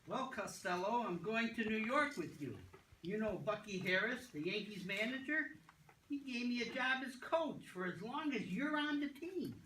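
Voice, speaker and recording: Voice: medium pitch, lively intonation, moderate pace, a bit bright, natural voice. An amateur reader playing an unflappable baseball coach, the matter-of-fact straight man in an old-time radio comedy routine. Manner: matter-of-fact, insistent answers. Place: meeting room. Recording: roomy, distant mic, noisy with clicks.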